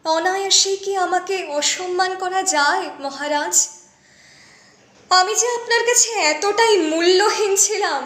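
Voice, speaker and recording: A very high, slow female voice with a bright edge , a reciter acting the part: a wronged young queen of ancient epic. She is proud, anguished and accusing. Her diction is formal and theatrical, with heavy pauses, like a stage monologue in verse. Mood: hurt, pleading. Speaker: poetry reciter. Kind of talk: dramatic Bengali poem recitation, monologue. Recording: echoey, reverberant voice recording.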